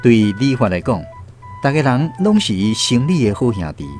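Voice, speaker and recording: A man's voice: medium pitch, sing-song, normal speed, a little mellow. A man with a Taiwanese accent reading scripted storytelling narration for a radio drama. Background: faint music.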